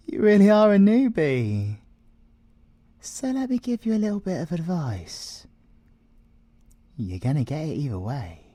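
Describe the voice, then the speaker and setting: A British man playing a cocky, flirtatious male siren in an ASMR audio roleplay, breathy and teasing, often slipping into whispers. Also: a little high, mellow, exaggerated voice. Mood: amused, patronising. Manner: teasing, theatrical, drops into whispers.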